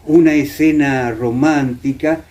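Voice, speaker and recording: A man's voice: on the high side, fairly even intonation, slow, mellow. An Argentine man, middle-aged or older, explaining and reminiscing in an interview with hesitant phrasing and frequent tag questions. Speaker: interviewee, former radio actor.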